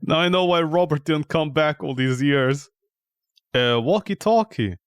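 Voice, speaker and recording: Male game streamer, fairly high voice, dry close sound, unhurried; sarcastic, exasperated, sweary off-the-cuff commentary. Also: clean tone, no room sound. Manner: mocking, exasperated, swears freely. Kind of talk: casual, profane gameplay commentary.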